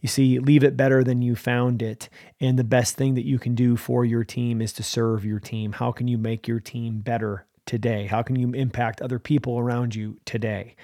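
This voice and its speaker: A man's voice: medium pitch, mellow, no room sound, clean studio sound. A man giving a motivational talk as a mental performance coach, with a punchy, emphatic delivery full of slogans.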